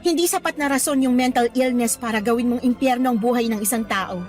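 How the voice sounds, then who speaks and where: A woman's voice: a little high, quick pace, darkish tone, close to an everyday voice. A woman playing an earnest, outspoken young schoolteacher in a radio drama, speaking expressively and mixing in English phrases. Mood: indignant. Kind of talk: Tagalog radio drama acting. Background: faint background music.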